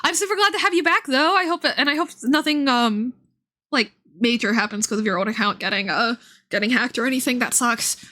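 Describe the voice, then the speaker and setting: A woman's voice: on the high side, fairly quick, bright, forceful tone. A woman livestreamer giving casual, enthusiastic commentary on game lore, informal and prone to swearing.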